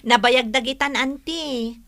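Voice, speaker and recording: An actress playing a cheeky, teasing young niece in a radio drama. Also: female voice, high-pitched, sharp tone, dry recording, clean studio sound, heavily acted.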